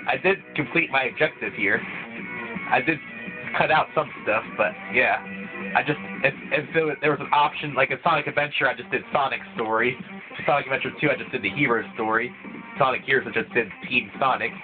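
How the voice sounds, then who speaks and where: Male let's-player, rambling, a bit stuffy from a cold; mid-pitched, lo-fi tinny recording. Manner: rambling, with frequent restarts. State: voice thickened by a cold. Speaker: let's play gamer. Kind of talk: casual gameplay commentary. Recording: tinny, phone-like lo-fi mic. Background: video game music playing.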